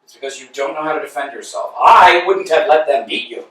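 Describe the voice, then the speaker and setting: A man's voice: fairly high pitch, lively intonation, fairly quick, bright, forceful tone. An actor playing an anxious, talkative male tramp in a staged play reading, fussing and lecturing, recorded in an echoey room from a distance. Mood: fretful. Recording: echoey room, mic far off.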